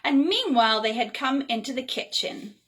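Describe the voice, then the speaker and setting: A woman reading a children's story aloud in an expressive, whimsical way, with chatty, playful asides. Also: high-pitched, normal speed, slightly rough. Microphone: phone.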